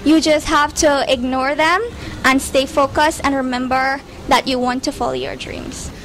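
Brief: woman, 18, interviewee, high voice, earnest, noisy background. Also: little pitch movement, normal speed, fairly bright tone. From Guyana. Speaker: engineering student, interviewee. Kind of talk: interview answers. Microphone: handheld mic.

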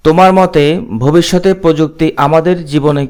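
A man's voice: a little high, steady tone, a little fast, a little mellow, clean tone. A man presenting a casual demo and asking questions.

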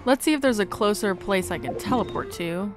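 A woman's voice: medium pitch, animated, brisk. A young woman giving casual, informal playthrough commentary, chattily thinking aloud as she plays. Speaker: gaming video creator. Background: game music.

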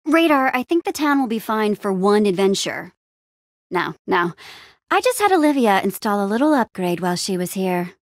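A woman playing an upbeat young adventurer and town hero in a video game, friendly, casual, expressive and quippy. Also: medium pitch, normal speed, no echo, clean studio sound. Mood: reassuring. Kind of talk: video game character acting.

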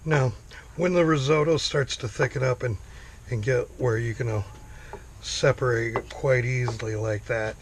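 A man hosting a home cooking show, explaining each step in a relaxed, halting way with many fillers. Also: mid-range voice, slight background noise. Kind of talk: casual cooking demonstration. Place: home kitchen, indoors.

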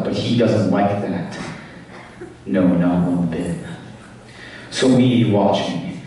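A young man performing a sinister, taunting, unhinged clown villain in a theatrical stage monologue, with menacing dramatic pauses and sudden swells. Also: lowish voice, darkish tone. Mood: sinister, gloating. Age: teenager or young adult. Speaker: talent show performer. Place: school auditorium stage. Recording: echoey hall from far off, faint noise.

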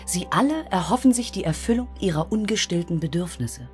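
An actress playing a solemn narrator, giving scripted narration for a radio drama. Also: female voice, on the low side, expressive, brisk, lightly acted. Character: solemn female narrator speaking for the group. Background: music.

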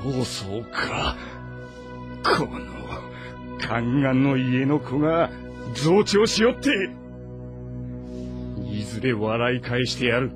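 An actor playing a proud, embittered ageing warlord with haughty, brooding lordly speech in an audio drama. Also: male voice, medium pitch. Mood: bitter anger. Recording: music behind the voice.

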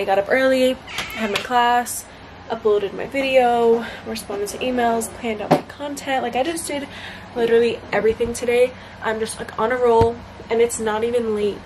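A bubbly young woman in her twenties, with a somewhat high voice, chats casually about her day to a camera held close. She rambles with lots of fillers. A steady background hiss sits under her voice in a small bedroom. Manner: bubbly, chatty, many fillers. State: congested. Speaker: vlogger and college student. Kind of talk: casual vlog chat to camera. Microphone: camera at arm's length.

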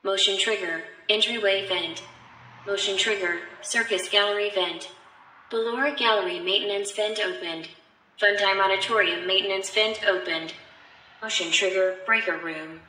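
A woman voicing an impassive automated facility computer in a video game, making flat, clipped announcements. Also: mid-range voice, moderate pace, natural voice. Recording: echoey, faintly noisy game audio.

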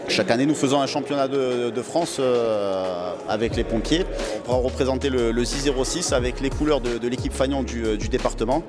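A man in his thirties speaking haltingly in an interview, repeating words as he goes. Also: medium pitch. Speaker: firefighter, amateur footballer. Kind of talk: on-camera interview. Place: large indoor hall. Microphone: handheld interview microphone. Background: music.

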